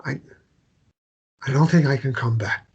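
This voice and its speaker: An actor playing a guilt-ridden married man in a drama table read, speaking in a halting, self-loathing way. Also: mid-range voice, a little mellow, lo-fi sound, a little hiss or hum, natural voice. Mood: subdued, sad. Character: guilt-ridden married man, caregiver to his ailing wife.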